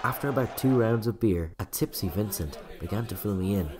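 A man narrating a scripted autobiographical story in a measured, dry way. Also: fairly low pitch, expressive, over a noisy crowd. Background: loud music.

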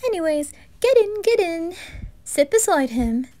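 Woman playing a flamboyant, high-pitched doting Reaper. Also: sing-song, unhurried, warm tone, dry recording, heavily acted. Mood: brisk, affectionate. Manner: theatrical, gushing, calls others darling. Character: flamboyant, doting Reaper in red, affectionate and theatrical. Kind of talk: anime fan audio drama.

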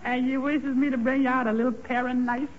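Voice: high-pitched, level tone, close to an everyday voice. An actor playing a jolly, boisterous housemaid in a 1940s radio comedy, folksy and exuberant. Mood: cheerful, deferential. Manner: folksy, exuberant, laughing, drawn-out exclamations. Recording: noisy, muffled 1940s radio recording.

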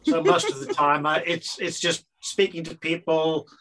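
A man's voice: a little high, steady tone, moderate pace, pushed and bright, slight background noise. An older man with a northern English accent, rambling modestly on a video call. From northern England. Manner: self-deprecating, rambling. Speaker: online English helper. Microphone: video call webcam.

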